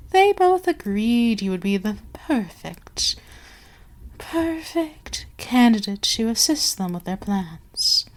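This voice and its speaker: A woman playing an imperious, seductive and menacing vampire queen in an ASMR audio roleplay, haughty and deliberate, with some words sinking into a whisper. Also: on the high side. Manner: slow, deliberate, haughty, commanding, dropping into whispers.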